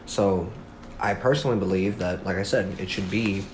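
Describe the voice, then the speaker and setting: A man with a fairly low voice answers as an interviewee in an informal way. He is emphatic and circles back to repeat his points. Faint ambient noise sits behind him. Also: moderate pace. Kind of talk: informal opinion giving. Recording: noticeable background ambient noise.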